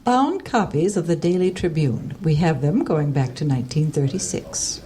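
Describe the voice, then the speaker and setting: A woman's voice: deep voice, animated, normal speed, mellow. An actress playing a prickly woman in her sixties who researches at a small-town library, speaking in a clipped, haughty, impatient way for a radio drama. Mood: curt, guarded. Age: 60s.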